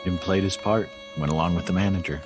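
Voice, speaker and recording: A man's voice: sits low, fairly even intonation, fast, music in the background, close to an everyday voice. A man acting as the storyteller, giving scripted narration of a crime tale in an audio drama. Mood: dramatic.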